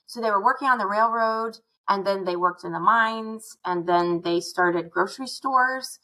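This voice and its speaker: A woman's voice: medium pitch, moderate pace, sharp tone, rough recording. A middle-aged woman from Arizona, a guest chatting casually and telling stories, often quoting her own thoughts. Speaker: guest, curriculum founder. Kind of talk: casual interview chat.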